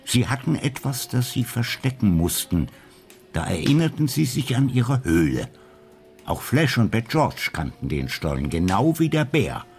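A man's voice: mid-range voice, sing-song, a little slow, darkish tone, soft background music. A man acting as a brooding narrator in a German radio crime drama. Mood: grave.

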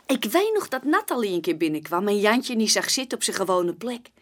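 An actress playing a young, plain-spoken barmaid giving witness testimony in a chatty, colloquial way in a radio play. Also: female voice, medium pitch, big pitch swings, a little fast. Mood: confiding. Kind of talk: naturalistic radio play dialogue.

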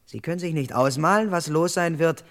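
An actor playing a dutiful London police constable in a crime radio play, speaking in a measured, formal, precise and officious way. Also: male voice, fairly high pitch, no echo, clean studio sound, lightly acted. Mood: stern, formal. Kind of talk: German crime radio play, first-person narration and dialogue.

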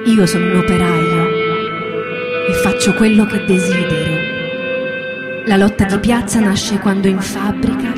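A woman's voice: medium pitch, close to an everyday voice. A woman acting a defiant militant factory worker in an emphatic, colloquial theatrical monologue over guitar music. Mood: resolute. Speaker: stage actor.

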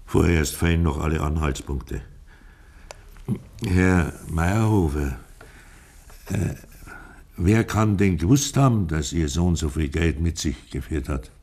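Very deep, husky police inspector. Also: male voice, animated, a little mellow, full character voice. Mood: probing. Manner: formal, hesitant, measured questioning. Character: polite, hesitant male police inspector, patiently questioning. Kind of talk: 1950s German radio play acting.

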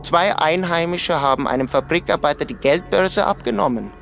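Young footballer, slightly high voice, earnest, plain-spoken, radio drama dialogue, soft music underneath. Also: male voice, lively intonation, normal speed, vocal fry. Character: earnest young village footballer sharing local rumours.

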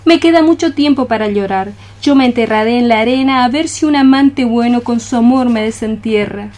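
An actress playing a lovesick young maiden cockroach, lyrical and declamatory in a poetic radio play. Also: female voice, fairly high pitch, a little fast, darkish tone, close to an everyday voice. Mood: anguished. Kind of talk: poetic radio theatre acting.

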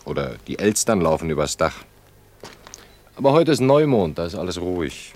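An actor playing a shy, courteous bachelor in his early thirties in a naturalistic radio play, speaking hesitantly with gentle irony. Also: low-pitched, big pitch swings, moderate pace, slight background noise. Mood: wistful. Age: 30s. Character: shy, courteous, wry bachelor of thirty-two, eager host.

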